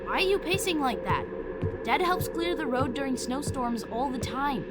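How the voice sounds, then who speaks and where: A woman's voice: animated, normal speed, loud music behind the voice. A woman playing a young boy, a worried little brother, in a family audio drama. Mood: puzzled, reassuring. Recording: loud ambient rumble behind voice.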